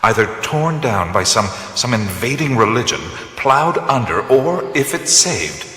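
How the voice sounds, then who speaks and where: An actor playing a weary, reflective adventurer in a radio play, speaking slowly and often in a hush. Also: male voice, medium pitch, sing-song, breathy edge, long reverb tail, full character voice. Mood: brooding. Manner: slow, reflective, often hushed. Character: wry, weary adventurer-mystic man. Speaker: lead character.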